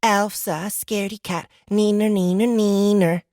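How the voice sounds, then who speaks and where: A woman's voice: mid-range voice, fairly even intonation, unhurried, a little mellow, no echo, clean studio sound, natural voice. A woman voicing a small child recruit in an audiobook drama, with simple childish phrasing. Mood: taunting. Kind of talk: audiobook character acting.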